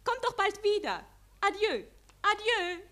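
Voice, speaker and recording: A woman's voice: extremely high pitch, big pitch swings, unhurried, bright, forceful tone, exaggerated voice. An actress playing a gushing society lady in a 1940s radio play, effusive and sing-song. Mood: effusive charm. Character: gushing society lady bidding guests goodbye.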